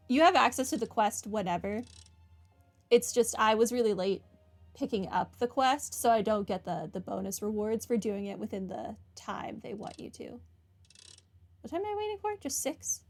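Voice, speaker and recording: Higher-voiced woman doing playthrough commentary. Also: lively intonation, moderate pace. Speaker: gaming streamer. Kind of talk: casual game playthrough commentary. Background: game music.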